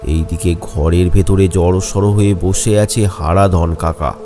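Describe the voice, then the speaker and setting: Slow, deepish male horror storyteller. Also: steady tone. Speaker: narrator. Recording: music behind the voice.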